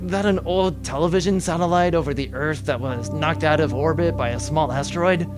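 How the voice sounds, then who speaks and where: A man's voice: on the high side, fairly quick, music in the background, lightly acted. A man playing an earnest, practical spaceship officer with a dry manner in a comedic sci-fi audio drama.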